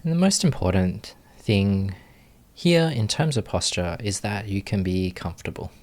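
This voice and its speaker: A man leading a guided meditation as a teacher, giving gentle instructions with long pauses. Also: lowish voice, lively intonation.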